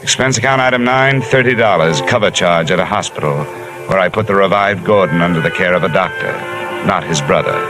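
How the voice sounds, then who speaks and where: A man's voice: low voice, fairly quick, lightly acted, low-quality recording. An actor playing a hard-boiled male insurance investigator narrating a 1950s radio drama in clipped sentences. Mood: terse. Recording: old radio transcription with music.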